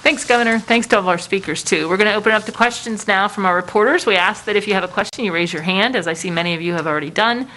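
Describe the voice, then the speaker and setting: A woman's voice: medium pitch, very fast, few pauses, pushed and bright, a little hiss or hum, rough recording. An American woman politely moderating reporters' questions on a video call in a businesslike way. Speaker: press conference moderator.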